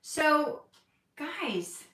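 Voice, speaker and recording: Chatty woman with bright, roomy sound. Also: high-pitched, sing-song, very slow, far from the mic. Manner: chatty, candid, laughs easily. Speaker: actress. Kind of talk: casual livestream Q&A chat. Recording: roomy echo, voice from off-mic.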